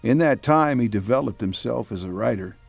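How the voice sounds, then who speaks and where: A man's voice: medium pitch, expressive, a little fast, creaky, no room sound, soft background music. A man hosting and announcing an audio drama series in a scripted radio narration style. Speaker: announcer and host.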